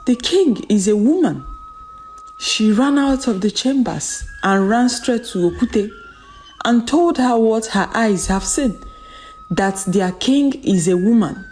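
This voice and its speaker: A woman narrating a folktale in a dramatic, solemn and deliberate storytelling manner, repeating phrases for emphasis. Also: fairly high pitch, lively intonation, a little mellow, faint music behind, poor audio.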